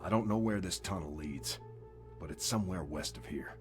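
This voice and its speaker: An actor playing a grizzled older occult hunter in a video game cutscene, gruff, brooding and portentous. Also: male voice, sits low, moderate pace, close to an everyday voice. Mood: grave explaining. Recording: music under the voice. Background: music.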